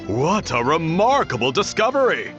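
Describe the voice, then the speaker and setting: A hearty, fair-minded village man from a game, slightly high in pitch. His delivery is highly animated, with faint music behind. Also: normal speed, muffled recording. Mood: supportive. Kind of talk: video game voice acting.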